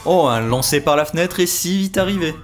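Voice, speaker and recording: A man's voice: a little high, animated, brisk, soft background music, lightly acted. A man playing a hesitant, easily confused office worker who mutters asides in an audio comedy. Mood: unsure.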